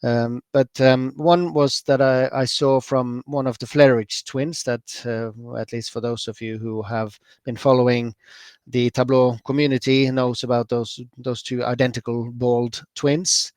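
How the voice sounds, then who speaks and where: A man from Norway with a Norwegian accent, chatting through a software demo at a user group, relaxed, with lots of fillers and self-corrections. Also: medium pitch, a little slow, smooth, no room sound. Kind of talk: screen-share software demo.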